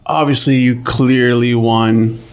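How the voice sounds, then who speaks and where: Man, podcast host, mid-pitched, flat even delivery, calm measured questions. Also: clean tone. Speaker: podcast interviewer. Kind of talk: interview questions.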